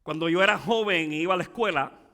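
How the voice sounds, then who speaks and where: Pastor guy preaching, switches to english sometimes, bright tense voice, big hall echo. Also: quick pace. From Cuba. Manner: preaching with rising emphatic peaks and dropping low asides. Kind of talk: bilingual sermon.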